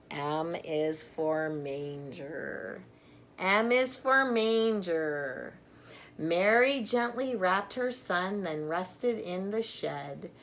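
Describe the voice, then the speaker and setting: Middle-aged woman storyteller, unhurried, lowish voice. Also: a bit bright, slight background noise. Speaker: storyteller reading a children's book. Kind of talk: read-aloud picture book with chatty asides.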